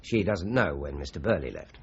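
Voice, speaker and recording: An actor playing a courteous, methodical British police inspector in a radio crime drama, measured and businesslike. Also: male voice, rumbling low, sing-song, vocal fry, slightly rough, full character voice. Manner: measured, businesslike, politely probing. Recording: muffled radio drama recording with hiss.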